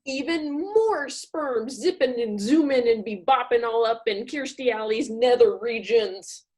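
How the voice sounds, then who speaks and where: A woman's voice: on the high side, a little slow, a little mellow. A woman comedian narrating scenes in a comedic radio play parody.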